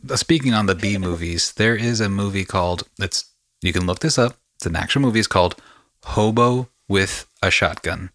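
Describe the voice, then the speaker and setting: A man hosting a casual podcast conversation, speaking reflectively and often pausing to search for his words. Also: sits low, a bit bright, no room sound, clean studio sound. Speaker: podcast host.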